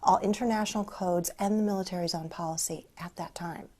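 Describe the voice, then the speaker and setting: Lower-pitched American woman, halting researcher. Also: fairly even intonation, dark tone. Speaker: sociologist, researcher being interviewed. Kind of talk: interview answers, explanatory.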